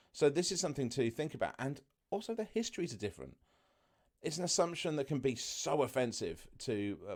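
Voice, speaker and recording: A man with a naturally loud voice, talking casually to camera as a travel vlogger, loose and full of fillers and asides. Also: medium pitch.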